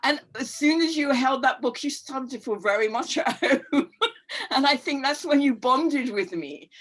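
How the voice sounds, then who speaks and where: A middle-aged woman guest on a podcast, talking in a warm, rambling and reflective way with frequent fillers and easy laughter. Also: high-pitched, expressive, normal speed. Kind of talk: casual conversational chat.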